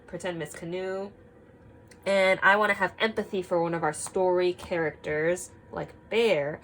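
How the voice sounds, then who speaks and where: Woman teacher reading aloud, with noisy background. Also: on the low side. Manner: expressive, encouraging pupils with frequent questions and prompts. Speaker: teacher reading a picture book aloud to young children. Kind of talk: children's read-aloud lesson with singing and questions.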